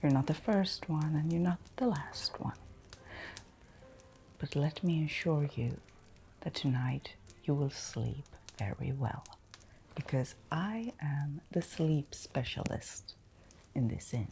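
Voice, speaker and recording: A woman playing a gentle, reassuring innkeeper in an ASMR fantasy roleplay, speaking in a soft, breathy near-whisper. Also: low voice, animated, a little hiss or hum, full character voice. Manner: slow, gentle, caring, reassuring. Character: Edna, soothing, reassuring woman innkeeper of fantasy forest inn.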